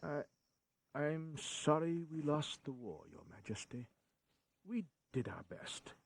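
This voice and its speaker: A man's voice: fairly high pitch, sing-song, slow, full character voice. A man playing a weary, bitterly ironic in a history audio drama, with a theatrical, wry manner. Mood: apologetic. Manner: theatrical, wry, deferential to the king.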